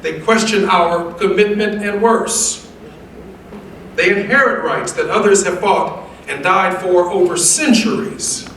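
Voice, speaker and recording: A man's voice: high voice, a bit bright. Echoey, distant American man giving a speech. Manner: measured, emphatic, rhetorical repetition. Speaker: guest speaker delivering remarks. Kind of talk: formal oratorical speech, preacher-like. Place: large echoey indoor event room. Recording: very echoey room, distant mic, faint noise.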